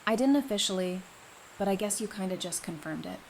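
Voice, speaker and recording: An actress playing a supportive woman doctor in an audio drama, speaking informally with gentle teasing and reassurance. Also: medium pitch, expressive, fairly quick, a little hiss or hum, natural voice, rough recording. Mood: knowing.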